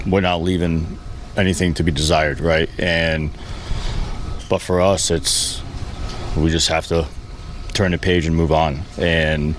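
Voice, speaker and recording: A man who manages a baseball team answers reporters after a game in a halting, plain-spoken way. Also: sits low. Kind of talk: post-game press conference answers. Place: post-game press session with reporters. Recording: faint background noise at press session.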